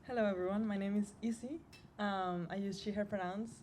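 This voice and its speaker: A woman from Spain introducing herself on a panel in a measured way, with hesitant ums. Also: mid-range voice, fairly even intonation, a little mellow, slight background noise. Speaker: youth panelist.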